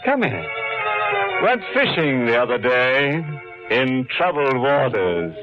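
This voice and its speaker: An actor playing a ghoulish, slyly joking horror show host in 1940s radio style, with a macabre, drawn-out, tongue-in-cheek delivery. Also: male voice, medium pitch, big pitch swings. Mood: ghoulishly jovial. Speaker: host of a mystery anthology. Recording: muffled old radio broadcast, loud music.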